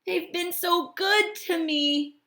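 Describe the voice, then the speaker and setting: A woman voicing a friendly, eager male hermit crab from a storybook, with a polite, emphatic, drawn-out delivery. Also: very high-pitched, bright, forceful tone, voice pushed up, full character voice. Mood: fond, wistful.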